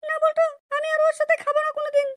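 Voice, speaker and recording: Squeaky, extremely high-pitched nagging cartoon wife. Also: female voice, monotone, rapid-fire. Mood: aggrieved. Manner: nagging, indignant complaining. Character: aggrieved, scolding young village wife in cartoon. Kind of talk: amateur comedy cartoon dubbing.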